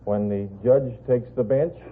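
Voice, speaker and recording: A man's voice: mid-range voice, unhurried, a little hiss or hum. An American man answering politely and dryly as a contestant on a vintage radio quiz show. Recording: tinny old radio broadcast before audience.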